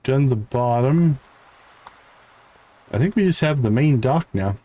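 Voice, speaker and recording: A man's voice: medium pitch, expressive, unhurried, dark tone, muffled recording. A man livestreaming a game, reading character dialogue aloud with stumbles and short asides. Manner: reads on-screen text aloud with stumbles and asides. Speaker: game streamer.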